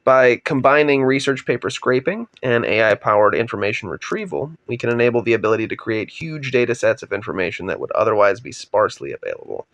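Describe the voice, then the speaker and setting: A young man with a voice raspy from a cold, steadily narrating a slide presentation on his undergraduate research project. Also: mid-range voice, fast talker, hardly any pauses, no room sound. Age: young adult. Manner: steady, explanatory, trails off at sentence ends.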